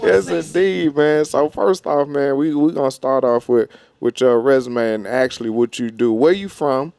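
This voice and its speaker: A man's voice: medium pitch, moderate pace, vocal fry, a little mellow. A man hosting a casual hip hop podcast, talking in hyped street slang and repeating his phrases. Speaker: podcast host. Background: hip hop music.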